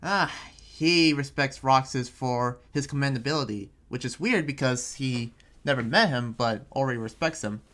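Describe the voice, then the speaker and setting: A man's voice: mid-range voice, normal speed, a bit bright, natural voice. Man playing a rambling, earnest young town preacher. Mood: explaining, conversational. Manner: rambling, explanatory, hesitant phrasing. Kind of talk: improvised anime Minecraft roleplay. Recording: background music behind the voice.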